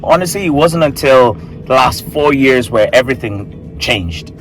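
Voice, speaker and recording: A man in his thirties talks off the cuff in an office recording booth. His voice sits at an ordinary middle pitch, and he rambles, restarts and corrects himself mid-sentence. Faint music plays underneath. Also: animated, unhurried, rough recording. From Tanzania, raised in Ireland. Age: 30s. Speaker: marketing coach. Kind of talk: casual unscripted talk to camera.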